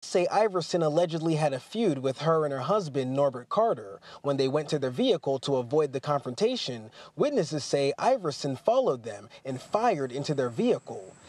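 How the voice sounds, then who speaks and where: A man's voice: on the high side, darkish tone, slightly rough, dry recording. A man reporting a crime story for TV news in a clipped news-reading delivery.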